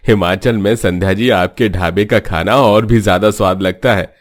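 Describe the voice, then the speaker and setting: A man's voice: fairly low pitch, a little slow, no room sound, studio quality, lightly acted. A man voicing a polite, friendly young man who praises a cook in a cartoon story. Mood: admiring. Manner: warm, complimentary.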